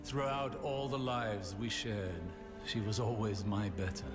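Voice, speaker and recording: Actor as slow, grieving older mentor. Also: male voice, on the low side, lightly acted. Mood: mournful, tender. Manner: slow, halting, reflective. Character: grieving older male mentor, solemn, weary and tender. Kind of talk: video game cutscene acting. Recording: loud music and rain behind.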